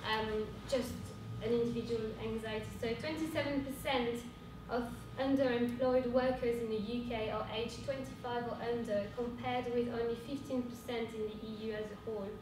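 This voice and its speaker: A young woman with a French accent giving an earnest conference talk, pausing often with fillers. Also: fairly even intonation. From France. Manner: earnest, hesitant with frequent fillers. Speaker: cooperative speaker. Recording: echoey hall from far off with rumble.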